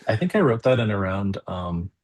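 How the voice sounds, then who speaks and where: A middle-aged man speaking as an interview guest, thoughtful and easy to laugh, animated when explaining. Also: lowish voice, moderate pace. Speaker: guest, composer and theology professor. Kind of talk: conversational interview.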